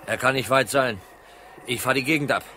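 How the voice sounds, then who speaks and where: A male actor as an energetic detective sidekick in a crime radio drama. His voice is medium in pitch and wry in tone. Car noise is clearly audible behind him. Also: a little fast, natural voice. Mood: brisk, concerned. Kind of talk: German crime radio play acting.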